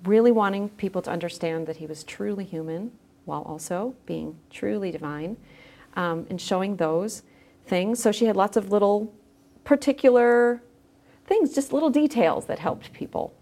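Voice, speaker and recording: A woman's voice: medium pitch, moderate pace, dark tone. A woman speaking as a podcast guest in a thoughtful, conversational interview style, feeling her way through her phrases.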